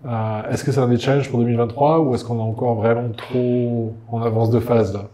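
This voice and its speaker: A man's voice: mid-range voice, steady tone, brisk, warm tone. A middle-aged man moderating a design panel, reflecting on points with wry asides before asking questions. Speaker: meetup host, moderator.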